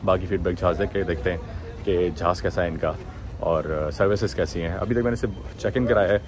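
Young guy filming himself on a phone, deep low voice, lots of airport and cabin noise behind. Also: brisk. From Pakistan. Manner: relaxed, talks to camera, mixes English phrases in. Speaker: travel vlogger. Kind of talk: casual travel review. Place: airport terminal and plane cabin, indoors. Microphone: phone at arm's length. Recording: noisy airport and cabin background.

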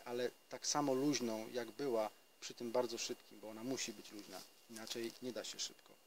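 A man's voice: mid-range voice, darkish tone, a little hiss or hum, low-quality recording. A man in his thirties giving a casual spoken trumpet lesson outdoors in a forest, explaining step by step with hesitations and restarts. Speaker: trumpet teacher.

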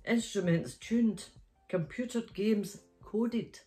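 A woman's voice: medium pitch, slow pace, dark tone, soft background music. A middle-aged woman, hosting story time and reading a children's picture book aloud in a bedroom. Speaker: storytime host. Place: small bedroom, sitting up in bed.

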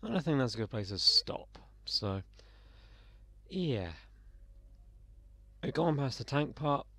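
A young man, slightly croaky, giving sarcastic, sweary commentary while playing a game. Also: medium pitch, very animated, slow pace, sharp tone, no room sound. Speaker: let's play gamer. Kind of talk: casual gameplay commentary.

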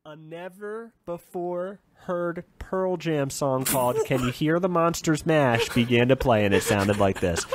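A man's voice: a little high, expressive, unhurried, few pauses. A man hosting a comedy podcast, chatting casually and playfully with profanity and bits of silly improvised singing. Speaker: podcast host.

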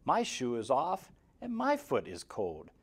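Lively, unhurried man reading a children's rhyme. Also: medium pitch. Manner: expressive, measured, sing-song delivery with clear emphasis. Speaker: guest story reader for kindergarteners. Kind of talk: reading a rhyming children's picture book aloud. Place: indoor room, seated in front of a banner. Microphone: lapel mic.

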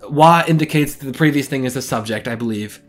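A young man talking casually to his webcam in a small bedroom and explaining language learning ideas in an animated way. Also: mid-range voice, a little fast, soft background music. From English-speaking. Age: young adult. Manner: animated, gestures a lot while explaining. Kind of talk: casual talking-head vlog.

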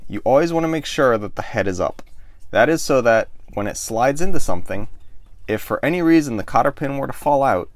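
A man in his thirties calmly explaining how to do something step by step, demonstrating with his hands in a small boat cabin, close to the camera. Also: medium pitch, no room sound. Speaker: sailing show host. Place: small wood-paneled boat cabin, indoors. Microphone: camera close in front of the face.

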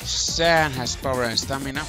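A young man hosting a casual video game walkthrough, talking in a halting way over game music. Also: mid-range voice. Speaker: gameplay video host.

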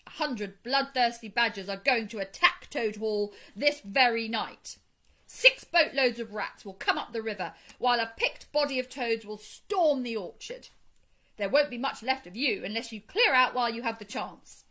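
A woman's voice: a little high, sing-song, normal speed, bright, forceful tone, exaggerated voice. A woman voicing a cheeky, eager male mole in a storybook, exclamatory and pleased with himself. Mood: smug, gleeful.

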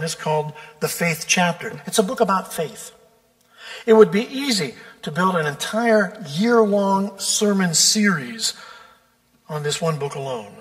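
A man preaches from the pulpit in a slightly high voice. He is measured and deliberate, drawing out key words for emphasis. Long reverb from the church sanctuary trails behind his voice. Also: fairly bright tone. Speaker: pastor. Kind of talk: sermon.

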